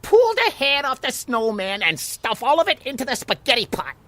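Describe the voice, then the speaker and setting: A man's voice: very high voice, huge pitch swings, normal speed, sharp tone, full character voice. A man playing a restless, self-important little water molecule in a children's radio play, complaining and urging. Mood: bossy, eager. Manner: eager, complaining, urgent.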